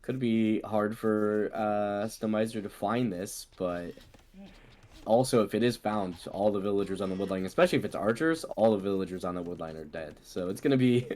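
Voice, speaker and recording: A man's voice: on the low side, moderate pace, darkish tone. A man with a cold co-commentating a strategy game match, upbeat and chatty. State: sick with a cold, deeper voice than usual. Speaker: co-caster.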